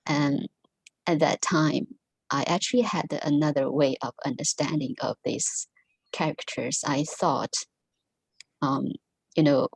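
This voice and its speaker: A woman whose first language is Mandarin gives a halting talk to an online Buddhist study group, full of pauses and fillers. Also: deep voice, gravelly, a little mellow, breathy edge, no room sound, rough recording. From Taiwan. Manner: halting, many ums and restarts. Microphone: video call.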